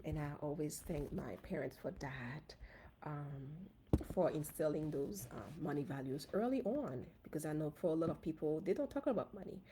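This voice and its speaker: A woman from Haiti with a Haitian accent, a dentist giving an animated, casual livestream talk to her viewers on a phone. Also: low voice, slight background noise. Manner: animated, talks straight to viewers. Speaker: dentist and finance coach.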